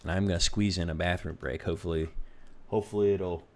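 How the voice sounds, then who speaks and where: A man co-casting a live game match, relaxed and teasing as he sets up the next map. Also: sits low, quick pace. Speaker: esports co-caster. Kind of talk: casual live game commentary.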